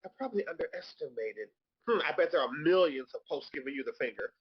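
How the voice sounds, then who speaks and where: Casting: male actor as sassy, gossipy drag queen, theatrical drawn-out lines, lively swoops, slightly raspy, dull recording. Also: a little high. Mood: playful, gleeful. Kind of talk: comedic live table read. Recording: dull lo-fi audio.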